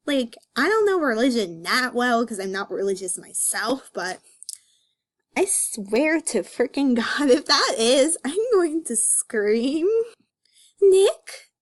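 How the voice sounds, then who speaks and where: A woman's voice: fairly high pitch, animated, slow. A woman giving casual, opinionated commentary in a slangy, exasperated way as an amateur reader.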